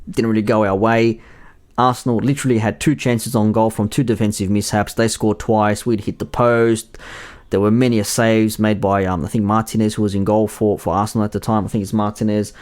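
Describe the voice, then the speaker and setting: A young man hosts a solo football podcast in a somewhat low voice that has gone raspy and husky from a night of yelling. He rambles through his points, stopping often to correct himself. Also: little pitch movement, brisk. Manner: rambling, with many fillers and self-corrections. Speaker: podcast host. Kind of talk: solo football preview chat.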